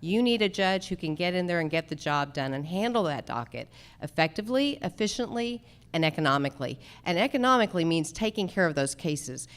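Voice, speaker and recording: A woman's voice: fairly low pitch, expressive, brisk. A woman running for judge, giving an emphatic stump speech in short, slogan-like statements. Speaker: judicial candidate, lawyer. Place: live candidate forum.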